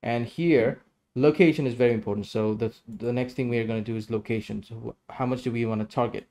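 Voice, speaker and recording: A man's voice: medium pitch, steady tone, fast, mellow. A man in his thirties giving a casual screen-share tutorial, explaining each step as he goes. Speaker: tutorial presenter.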